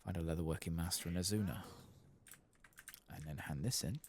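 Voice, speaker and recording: A man giving soft-spoken, sometimes whispered ASMR commentary on a game, murmuring to himself and reading text aloud. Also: deep voice, fairly quick, slight background noise. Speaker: ASMR gaming channel host.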